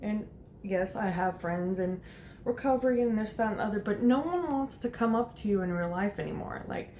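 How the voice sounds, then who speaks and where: A woman's voice: medium pitch, animated, normal speed, warm tone, roomy sound, a little hiss or hum. A woman in her late twenties rambling casually to the camera while doing her makeup, chatty and laughing often. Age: late 20s. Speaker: vlogger. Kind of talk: casual rambling get-ready-with-me chat.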